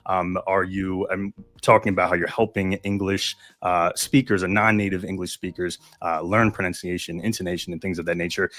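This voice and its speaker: A man hosting an online event, summing up a guest's points with steady affirmations and many fillers over a video call. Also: sits low, dry recording. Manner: summarizing, affirming, filler-heavy. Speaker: event host. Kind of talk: interview hosting.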